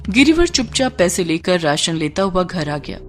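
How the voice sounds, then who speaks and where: A woman's voice: lowish voice, sing-song, moderate pace, soft background music. A woman narrating a scripted moral story.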